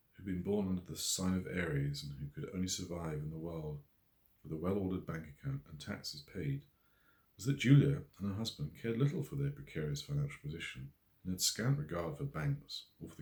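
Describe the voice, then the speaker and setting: A man's voice: low-pitched, expressive, a little fast, vocal fry, mellow. A man reading scripted narration from his own novel as an audiobook. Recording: echoey room.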